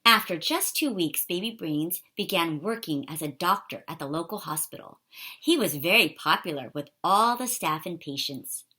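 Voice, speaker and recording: A woman reading a children's picture book aloud in an expressive, animated way for young listeners. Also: fairly low pitch. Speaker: storybook reader.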